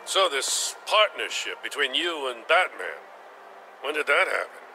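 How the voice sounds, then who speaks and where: A man's voice: medium pitch, very animated, a little slow, sharp tone, heavily acted, rough recording. An actor playing a weary middle-aged veteran policeman in a video game, plain-spoken and dry. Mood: inquisitive, businesslike. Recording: music underneath.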